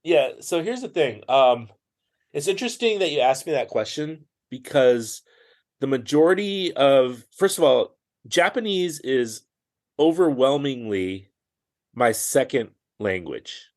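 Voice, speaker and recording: A man with an ordinary mid-range voice chats as a podcast guest. He talks loosely, restarting sentences and padding them with fillers. He rushes through phrases in quick bursts. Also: mid-range voice, lively intonation. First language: English. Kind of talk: casual conversational interview.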